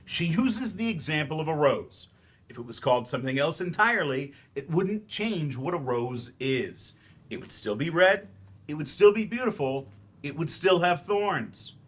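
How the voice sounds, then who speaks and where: A man's voice: on the high side, expressive, normal speed. An actor in a staged play reading, playing a bitter, grieving, sarcastic man who teaches remedial English and speaks defensively and emphatically. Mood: impatient, explaining.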